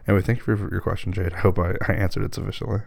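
A hoarse man, losing his voice, answering listener questions in a hesitant, casual way. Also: low voice, fairly even intonation, very fast, a little mellow, dry recording. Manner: hesitant, many ums and restarts.

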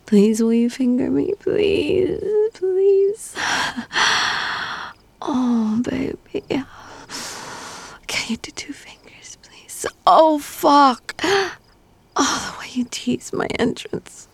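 Woman, high-pitched, breathy whispering with moans, creaky edges, intimate erotic roleplay. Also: lively intonation, extremely slow, long pauses, no room sound. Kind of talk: intimate erotic audio roleplay, addressed to a partner.

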